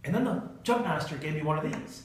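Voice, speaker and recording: A man giving a humorous storytelling speech to an audience in a theatrical manner, with dramatic pauses and stretched emphasis. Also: on the high side, lively intonation, a little hiss or hum. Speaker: Toastmasters club speaker telling a humorous tale. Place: indoor meeting room with an audience. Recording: echoey room, recorded from far off.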